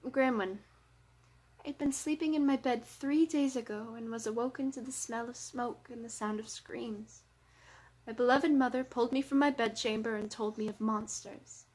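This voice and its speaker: A woman's voice: high-pitched, darkish tone, low-quality recording. A woman voicing a frantic, pleading young man, a blind human refugee in a fantasy story. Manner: frantic, exclaiming.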